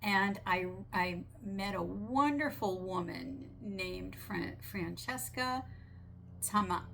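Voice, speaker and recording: A woman channeler talking gently and earnestly, her voice raspy from a sinus infection. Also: mid-range voice, slight background noise. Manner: slow, gentle, earnest with small restarts. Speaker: spiritual channeler. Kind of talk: relaxed monologue relaying a channeled message.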